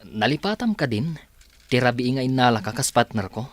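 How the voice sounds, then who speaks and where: An actor playing an apologetic, hesitant son of a company boss in an Ilocano radio drama. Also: male voice, medium pitch, lively intonation, fairly quick, no echo, natural voice. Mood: earnest, insistent. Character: Sir Daniel, apologetic, hesitant male company boss's son.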